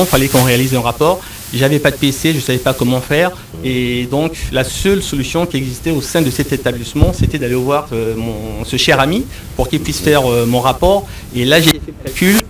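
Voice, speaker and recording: A middle-aged man from the Republic of the Congo, an enterprise architect answering interview questions, hesitant with many fillers and repeated words. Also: brisk. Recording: voice a bit far from mic, faint rumble.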